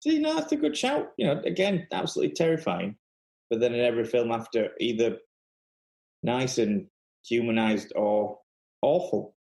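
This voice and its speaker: Animated, mellow speaker in a roomy space. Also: mid-range voice, normal speed, creaky. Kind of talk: casual film chat.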